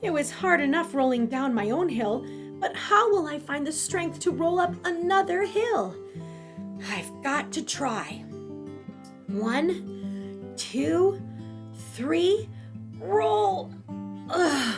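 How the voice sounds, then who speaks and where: Woman doing a high, plaintive little pumpkin boy. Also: very animated, takes it slowly, long pauses, over music, heavily acted. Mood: distressed, then straining, determined. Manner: plaintive, effortful. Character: lonely little pumpkin, young male, earnest, determined. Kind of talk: children's storytelling voice acting.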